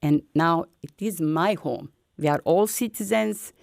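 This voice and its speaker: An older woman from Bosnia with a Bosnian accent, telling her story in halting, broken English in an earnest, encouraging way. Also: on the low side, gravelly, a little mellow, no room sound, clean studio sound. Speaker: featured artist. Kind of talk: personal storytelling.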